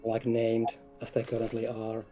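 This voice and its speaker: A man's voice: mid-range voice, completely flat delivery, normal speed, warm tone, faint music behind. A man speaking casually and a little hesitantly as a game developer guest in an interview. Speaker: game developer, lead designer, stream guest.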